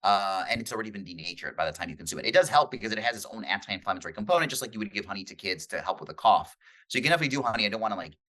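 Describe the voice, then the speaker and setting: Fast-talking man with a low, bright voice. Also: animated. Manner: explains in quick bursts with "uh" fillers. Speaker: guest, obesity medicine doctor. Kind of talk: casual conversational interview.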